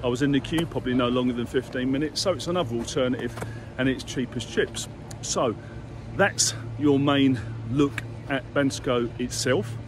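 A man's voice: mid-range voice, normal speed, background noise. A middle-aged man talking to a handheld camera outdoors at a ski resort, a chatty, upbeat guide giving facts and prices. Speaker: travel vlogger. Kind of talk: casual travel vlog to camera. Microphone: action camera held at arm's length.

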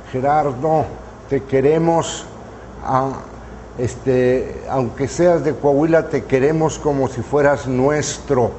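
A man in his seventies from Mexico, reminiscing in an interview in his studio, unhurried and full of hesitant fillers. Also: medium pitch, mellow, a little hiss or hum, low-quality recording. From northern Mexico. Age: 70s. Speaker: painter interviewee. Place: artist's studio, indoors. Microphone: lapel mic.

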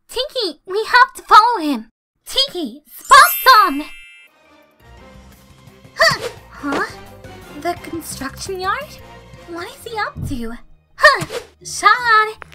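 Woman as a very high-pitched young superhero girl. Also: very animated, slow pace, long pauses, bright, forceful tone, full character voice. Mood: alarmed, searching. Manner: gasping, exclamatory. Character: anxious, determined teenage superhero girl. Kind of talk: animated comic dub acting. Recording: music behind the voice.

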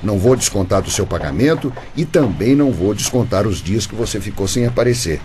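Radio drama casting: man as crooked Brazilian building boss, breezy, dismissive, very lively intonation, light site noise behind. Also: lowish voice, a little fast, natural voice. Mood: dismissive, cheery. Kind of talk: Brazilian radio soap acting.

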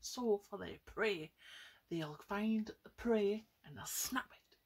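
Young woman, children's storyteller, unhurried delivery, husky edge, medium pitch, exclamations and questions to young listeners. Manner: addresses children, exclaiming and asking questions, with hushed asides. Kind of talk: storytelling to children with paper puppets. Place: small room at home, indoors.

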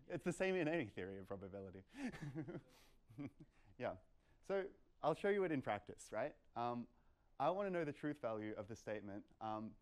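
A man's voice: medium pitch, a little mellow. Young man giving an informal technical talk. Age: young adult. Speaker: lecturer at a meetup. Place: lecture room, indoors.

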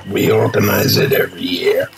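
A man playing a weary, gruff father in a post-apocalyptic audio drama. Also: on the low side, flat delivery, normal speed, over loud music.

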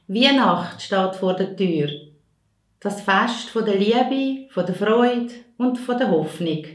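Earnest secretary, slow. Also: female voice, mid-range voice. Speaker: parish secretary. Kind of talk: Christmas greeting. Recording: echoey room.

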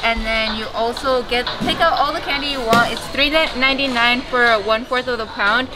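A woman's voice: fairly high pitch, normal speed, low-quality recording. A woman co-hosting a casual vlog, gushing over things as she walks through a candy store. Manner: bubbly, gushing. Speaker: vlog co-host. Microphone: handheld camera. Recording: loud store music behind. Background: store music.

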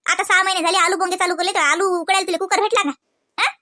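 Casting: an actor as a fussy, chatty cartoon village woman, rural Varhadi Marathi accent, rapid bright delivery, nagging colloquial manner. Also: extremely high pitch, steady tone. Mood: insistent, nagging. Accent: rural Varhadi Marathi, Vidarbha. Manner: colloquial, nagging, repeats questioning tags. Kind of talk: comedy cartoon dialogue in rural household chat.

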